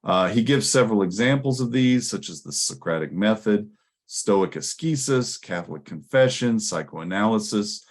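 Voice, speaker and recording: A man with a low voice delivers an academic presentation. He explains in a scholarly manner, pausing and hesitating as he works through his points. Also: expressive. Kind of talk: conference presentation, explanatory lecture.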